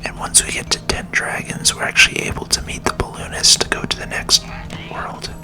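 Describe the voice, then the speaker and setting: A person softly whispering ASMR video game commentary in a soothing, unhurried manner. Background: game music.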